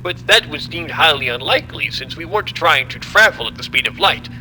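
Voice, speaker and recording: An actor playing an arrogant, controlling scientist in an audio drama, speaking in a deliberate, theatrical, commanding way. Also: male voice, a little high, lively intonation, pushed and bright, slight background noise, low-quality recording. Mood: defensive. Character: arrogant, controlling male scientist running a secret experiment.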